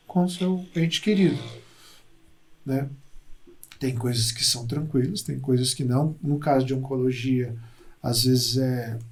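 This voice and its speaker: A man, a hospital psychologist explaining his work as a podcast guest, pausing and repeating words while he looks for them. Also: fairly high pitch, a little mellow. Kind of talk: conversational interview.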